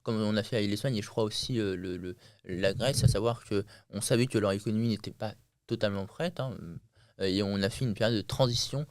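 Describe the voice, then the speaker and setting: A man's voice: on the low side, fairly quick, no echo. A man hosting a podcast interview, asking questions in a hesitant way with many fillers. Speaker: podcast host.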